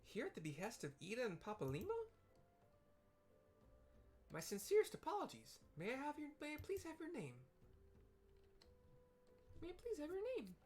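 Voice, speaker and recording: A man voicing a small, cheery female game receptionist who speaks politely and primly in a high, sing-song falsetto. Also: wildly swooping pitch, normal speed, pauses often, darkish tone, full character voice. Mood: apologetic, flustered. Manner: formal, courteous phrasing. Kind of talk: acted game dialogue read aloud.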